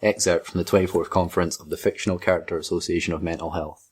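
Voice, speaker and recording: Low, husky Scottish man reading aloud. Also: flat delivery, fast. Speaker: reader on a group fan fiction reading show. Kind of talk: reading aloud with casual banter.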